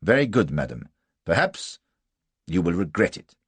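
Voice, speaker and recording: A man's voice: low voice, big pitch swings, moderate pace, breathy edge, dry recording, heavily acted. A man voicing a pompous, vicious Prussian officer, formal and coldly menacing, in an audiobook story. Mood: cold menace.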